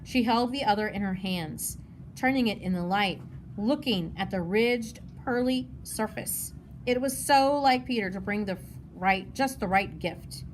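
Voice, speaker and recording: A woman's voice: mid-range voice, a little slow, rough recording. A woman narrating a children's novel as a read-aloud. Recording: noticeable background noise.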